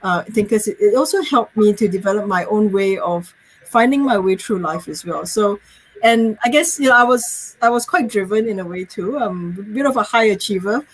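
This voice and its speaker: A woman's voice: mid-range voice, normal speed, slightly rough, rough recording. A woman in her thirties from Malaysia, a guest on a video-call interview, talking casually with frequent ums and laughs.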